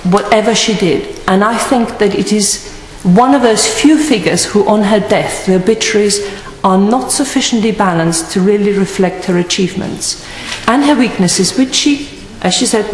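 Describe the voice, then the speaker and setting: A woman giving an earnest, measured parliamentary tribute speech. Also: medium pitch, a little hiss or hum. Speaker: member of parliament. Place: large debating chamber. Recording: echoey hall, distant mic.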